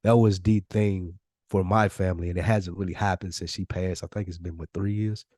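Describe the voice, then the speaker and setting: An American man co-hosting a podcast, telling stories in casual, animated chat with loose slang and free swearing. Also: deep voice, brisk, no room sound, clean studio sound. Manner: slangy, informal, swearing freely. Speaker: podcast co-host.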